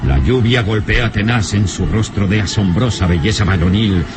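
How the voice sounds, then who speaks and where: A man's voice: lowish voice, normal speed, music in the background, close to an everyday voice. A male actor as a solemn, dramatic storyteller, narrating an old radio serial in a theatrical, grandiloquent way. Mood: suspenseful.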